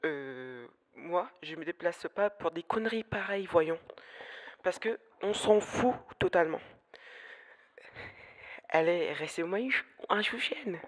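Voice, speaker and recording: A woman's voice: fairly low pitch, lively intonation, measured pace, long pauses. Lazy, grumbling police officer played by a woman. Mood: fed up, dismissive. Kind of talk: amateur audio drama acting.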